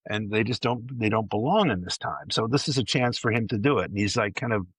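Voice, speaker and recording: A man's voice: fairly low pitch, a little fast, a bit bright, slightly rough, dry recording. A man chatting casually on a podcast panel, rambling along with enthusiasm. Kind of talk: casual chat.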